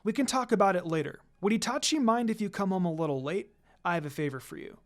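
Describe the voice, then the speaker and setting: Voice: mid-range voice, expressive, fast, natural voice. A narrator as a woman village leader, commanding, direct, questioning, very varied intonation, story dialogue.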